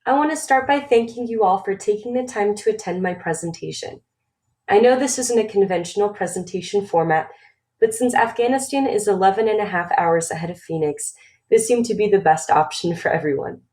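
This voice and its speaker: A woman's voice: mid-range voice, fairly quick, a little mellow. A woman in her twenties from the United States, whose first language was Romanian, giving a scripted webinar presentation in a formal, read-aloud manner. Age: 20s.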